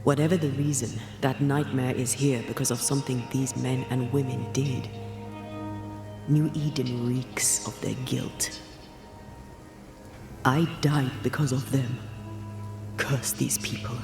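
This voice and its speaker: A woman's voice: extremely low pitch, fairly even intonation, measured pace, pauses often, over music. Casting: slain female spirit hunter turned ghost, stern, terse, commanding, game drama with strong score behind. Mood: bitter, accusing. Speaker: game voice actor.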